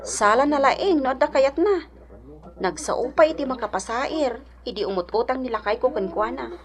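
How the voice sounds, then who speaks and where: A woman's voice: high-pitched, moderate pace, natural voice. A woman acting an outspoken, aggrieved mother short of money, emphatic and gossipy, in a radio drama. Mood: indignant. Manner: complaining, indignant, emphatic.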